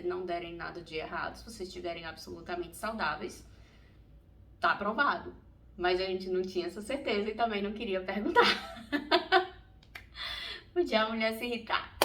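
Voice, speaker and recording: Brazilian woman chatting away in her vlog, lively storyteller, mic kinda far off, faint hum behind. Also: mid-range voice, vocal fry. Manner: chatty, animated storytelling, laughs often. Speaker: vlogger. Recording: distant mic, faint ambient noise.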